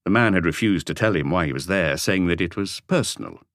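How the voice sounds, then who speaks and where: A man's voice: sits low, animated, brisk, fairly bright tone, no echo, studio quality. A man reading scripted narration as the narrator of a mystery audiobook.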